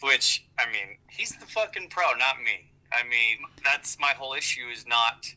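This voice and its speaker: Very bright-voiced American man chatting casually. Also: medium pitch, animated, normal speed, low-quality recording. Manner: rambling, wry, self-deprecating. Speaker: golf vlogger and amateur golfer. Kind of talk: casual phone chat, profane.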